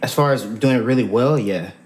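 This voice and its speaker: A young man, a rapper, chatting casually with a relaxed, sit-back delivery. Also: medium pitch, fairly quick, reverberant. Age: young adult. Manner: laid-back delivery that sits back. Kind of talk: casual chat. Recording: music clearly playing behind.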